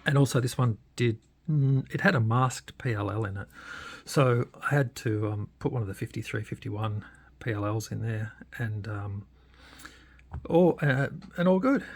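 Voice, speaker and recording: A man giving a casual hands-on demonstration as a radio hobbyist, often hesitating and pausing mid-sentence in a creaky voice. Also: mid-range voice.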